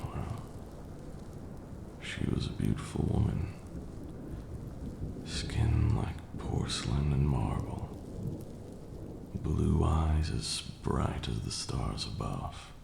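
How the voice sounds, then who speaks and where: Over rain and thunder in a roomy echo comes an ancient vampire lord, acted by a man. He speaks slowly in an extremely deep voice, hushed and formal. He is proud yet wistful and tender, like a storyteller in an intimate ASMR roleplay. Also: very animated, gravelly, noisy background, exaggerated voice. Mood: wistful, grave. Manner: measured, formal, hushed, with long pauses.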